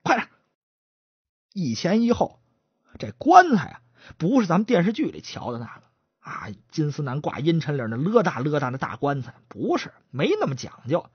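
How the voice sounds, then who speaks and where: A man's voice: on the high side, wildly swooping pitch, breathy edge, dry recording, muffled recording, exaggerated voice. A man voicing a brash, bossy constable who scolds and talks on and on. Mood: impatient. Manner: scolding, brash, fast talk. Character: bossy, quick-tongued chatterbox constable. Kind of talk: traditional storytelling, acted dialogue.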